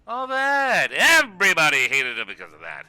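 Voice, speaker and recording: Man playing a forceful, sarcastic cartoon wrestler. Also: a little high, huge pitch swings, moderate pace, clean tone, dry recording, soft background music, exaggerated voice. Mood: mocking. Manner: scolding, sarcastic, emphatic. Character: exasperated, mocking male wrestler, the self-styled straight man. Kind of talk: scripted comic argument in web animation.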